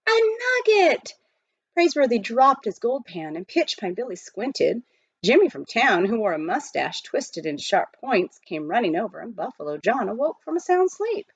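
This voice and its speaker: A woman reading a children's novel aloud as an expressive storyteller. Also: mid-range voice, husky, dry recording, muffled recording.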